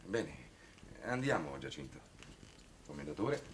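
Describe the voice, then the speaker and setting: A man playing a polite, measured parish priest in a 1960s Italian television drama. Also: a bass voice, sing-song, exaggerated voice. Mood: courteous. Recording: slightly noisy old 1960s TV soundtrack.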